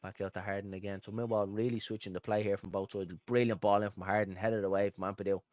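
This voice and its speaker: A man's voice: on the low side, flat delivery, quick pace, a little mellow, no echo. A man with a Dublin Irish accent doing live football commentary and informal, matey chat as host of a fan watchalong stream. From Dublin, Ireland. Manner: informal, matey, addresses viewers as pal and buddy.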